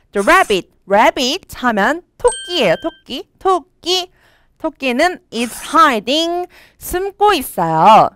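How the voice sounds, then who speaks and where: Young woman, children's English teacher, very slow, lively pitch, smooth voice, dry studio sound. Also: no room sound. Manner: slow, clear reading of English lines with Korean explanations. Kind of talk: storybook English lesson. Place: studio with a green screen.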